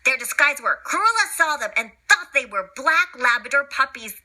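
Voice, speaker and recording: A woman reading a children's story aloud as storyteller, in an animated, dramatic and expressive way. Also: sits high, normal speed.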